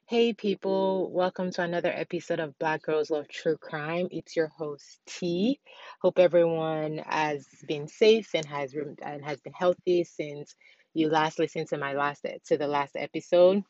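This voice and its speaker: Young woman podcast host, low voice, rambling chatty delivery, dry muffled car recording. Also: measured pace, a little mellow, no room sound. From Nigeria. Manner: rambling, many fillers and restarts. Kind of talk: casual solo podcast chat. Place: inside a car.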